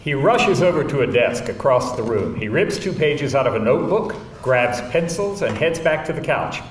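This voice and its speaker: A man narrates stage directions with lively, varied intonation in a mid-pitched voice. He sounds a little way from the mic in a very roomy space. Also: moderate pace. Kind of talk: scripted narration at live table read. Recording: distant mic, roomy hall, faint rumble.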